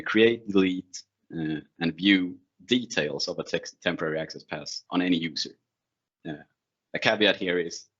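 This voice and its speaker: A man's voice: sits low, fairly bright tone, slightly rough. A man who is not a native English speaker, giving an informal technical presentation in a conversational, slightly hesitant way. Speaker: presenter, IT security consultant. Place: online video call screen share. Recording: dull, lo-fi online meeting audio.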